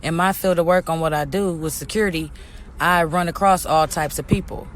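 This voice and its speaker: A woman hosting a podcast, candid and laid-back, laughing softly. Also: low-pitched, steady tone, fairly quick, slight background noise. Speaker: podcast host. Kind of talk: casual chat.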